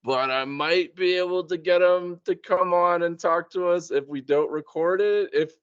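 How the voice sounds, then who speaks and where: A man's voice: high voice, moderate pace, clean tone, dry recording, lo-fi sound. A man chatting casually as a podcast host, in an informal, slangy way. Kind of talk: casual chat.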